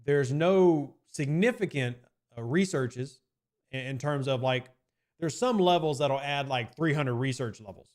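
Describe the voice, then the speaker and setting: A man's voice: medium pitch, measured pace, darkish tone. A man streaming live and answering chat questions in a casual, rambling way, often hedging with "I mean" and repeating his points.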